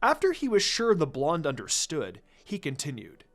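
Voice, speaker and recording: A man reading fan fiction aloud as an expressive narrator, following a script. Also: on the high side, moderate pace, faint music behind.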